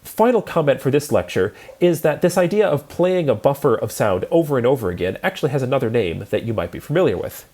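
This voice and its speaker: A man's voice: medium pitch, big pitch swings, quick pace, hardly any pauses, darkish tone. A man lecturing in a methodical, explanatory way, as if walking students through a programming lesson step by step.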